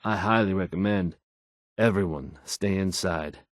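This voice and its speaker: An actor playing a polished, confident radio DJ in a video game, with fluent, assured announcer delivery. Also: male voice, sits low, a little slow, no room sound, studio quality. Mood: grave, composed.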